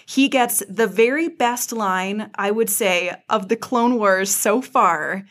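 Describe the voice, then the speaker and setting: A woman with an average-pitched voice is co-hosting a podcast. She tells the story as a casual recap, expressive and a little wry, like a fan talking to fans. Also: takes it slowly. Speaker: podcast co-host.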